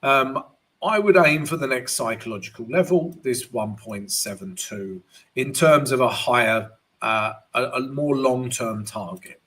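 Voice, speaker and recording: A man with a croaky, worn voice runs a live market analysis stream. He talks to his viewers in a casual, chatty way, keeps checking in with a quick "right?" and calls everyone guys. His pitch sits in the ordinary middle range for a man. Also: expressive, a bit bright.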